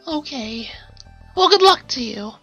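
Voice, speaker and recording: An excitable, loyal young man, put on by a woman, pipes up in a squealing, high falsetto. He talks fast, and his voice sounds thin and off-mic. It is an eager, cartoonish sidekick voice in an amateur audio drama. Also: husky, no room sound, highs cut off, soft background music, exaggerated voice.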